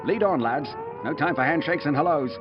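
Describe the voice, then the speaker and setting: An actor playing a hearty army major in a war game, briskly rallying his men. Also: fairly high pitch, animated, far from the mic, heavy background noise, lightly acted. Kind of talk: scripted game dialogue. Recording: dull audio under loud music, gunfire.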